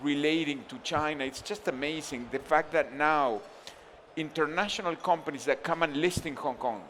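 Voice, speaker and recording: A man's voice: fairly high pitch, moderate pace, a bit bright, slight background noise. A man from Argentina speaking on a conference panel, thinking aloud with frequent restarts and fillers.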